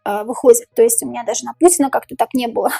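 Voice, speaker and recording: High-voiced woman with a tiring voice. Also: steady tone, gravelly, warm tone, slightly rough, no room sound, clean studio sound, soft background music. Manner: repeats each question slowly, muses over the cards. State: voice tiring, going hoarse. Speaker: tarot reader. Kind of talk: tarot reading to camera, thinking aloud.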